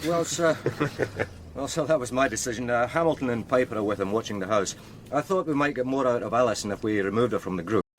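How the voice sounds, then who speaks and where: Measured male detective played by an actor, mid-pitched. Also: slight background noise, lightly acted. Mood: measured, matter-of-fact. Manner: measured, explanatory. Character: measured, deferential male detective reporting to his boss. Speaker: police officer. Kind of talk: radio drama acting.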